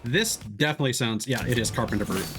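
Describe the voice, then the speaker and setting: A man's voice: mid-range voice, fast. A man live streaming a video game with casual, playful, joking commentary. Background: game music.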